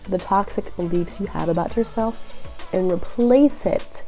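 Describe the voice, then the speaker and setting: A young woman with a cold, sounding nasal and raspy, giving earnest, encouraging relationship advice straight to the viewer. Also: medium pitch, measured pace, warm tone, soft background music. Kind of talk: direct-to-camera advice vlog.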